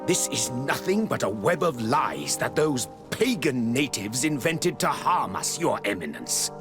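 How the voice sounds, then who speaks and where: A man's voice: medium pitch, very animated, measured pace. A man playing a defensive, scornful bishop in a video game, formal and insistent. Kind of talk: video game dialogue acting. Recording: music behind the voice.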